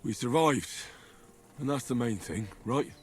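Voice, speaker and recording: An actor playing a loyal, good-humored male squire in a game, with a steady, reassuring manner. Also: medium pitch, measured pace, close to an everyday voice. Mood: easygoing. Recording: game audio under faint music.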